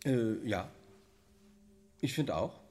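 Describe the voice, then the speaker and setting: An actor playing a flustered, absent-minded but earnest science professor in a radio drama. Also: male voice, mid-range voice, lively intonation, slow, darkish tone. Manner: flustered, academic, slightly rambling.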